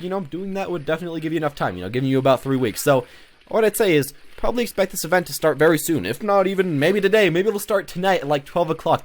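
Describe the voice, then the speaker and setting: A man giving casual, unscripted gaming commentary as a video host, rambling and often repeating himself. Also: a little high. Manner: rambling, repeats himself, peppers talk with "you know".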